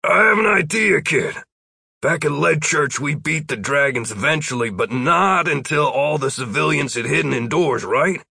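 A man's voice: on the high side, moderate pace, gravelly, bright, forceful tone, husky, dry recording, clean studio sound, voice pushed up, exaggerated voice. Man voicing a sly, folksy older wizard mentor. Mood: persuasive, scheming. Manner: folksy, teasing, persuasive.

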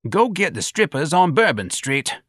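A man's voice: a little high, very animated, moderate pace, a bit bright, husky, no room sound, clean studio sound, voice pushed up, heavily acted. A man voicing an indignant, cranky old grandfather in an audiobook novel. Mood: grumbling.

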